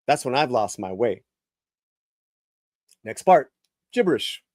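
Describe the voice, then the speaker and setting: A man performing a dramatic live reading of his own first-person novel, swinging between hushed lines and emphatic ones, often restarting words. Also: sits high, no echo, studio quality.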